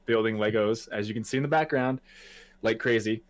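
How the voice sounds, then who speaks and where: A man's voice: on the low side, brisk, no room sound. A man in his twenties co-hosting a podcast in casual chat, loose and profane, laughing often. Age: 20s. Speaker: podcast co-host.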